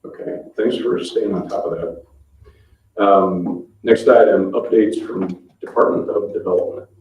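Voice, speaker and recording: Distant and echoey, this is a man with a low voice running a public meeting. He talks in a procedural, loosely formal way, and the sound is slightly muffled. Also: fairly even intonation, unhurried. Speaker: meeting chair. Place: indoor public meeting room.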